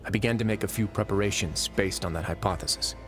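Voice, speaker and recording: An actor playing a calm, authoritative and wry warden of a prison fortress in a video game, speaking in measured, formal explanations. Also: male voice, on the low side, close to an everyday voice. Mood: measured, explaining. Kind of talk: English video game voice acting. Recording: game music playing behind the voice.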